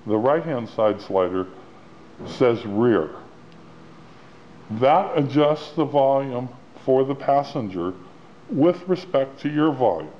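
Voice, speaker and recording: A man giving a plain-spoken, step-by-step instructional walkthrough of a product as its demonstrator. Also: mid-range voice. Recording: dull lo-fi sound with clear background noise.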